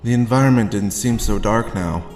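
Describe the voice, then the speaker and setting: A man playing a hard-boiled adventurer, narrating an audio drama in a measured, dramatic first-person way. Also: fairly low pitch, darkish tone, soft background music, lightly acted. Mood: reflective. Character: weary hard-boiled male adventurer narrating his monster fight. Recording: echoey with long reverb.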